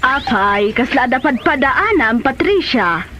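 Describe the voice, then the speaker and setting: Woman acting as older female relative, Ilocano accent, high voice, watchful, comedy drama, faint music behind. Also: sing-song, unhurried. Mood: inquisitive, instructing.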